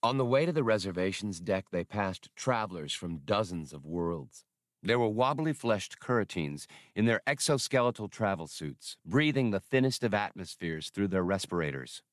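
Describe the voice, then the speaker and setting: A man's voice: low-pitched, animated, normal speed, dry recording, clean studio sound. A man reading a scripted work of fiction as an audiobook narrator.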